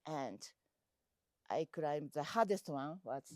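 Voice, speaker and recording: Slow, halting woman. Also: deep voice, expressive, darkish tone, no echo, clean studio sound. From Japan. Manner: halting, searching for words, many fillers. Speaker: trekker and mountain climber. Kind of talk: casual interview answers. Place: outdoors on a mountain trek.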